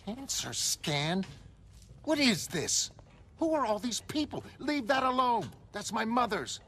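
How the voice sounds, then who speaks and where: A man's voice: extremely high pitch, sing-song, slow, sharp tone, slight background noise, voice pushed up, full character voice. An indignant, distraught woman, as a man narrator puts her on in a motion comic. The voice sits far above his own and bristles when provoked. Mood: alarmed. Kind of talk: motion comic dramatic acting.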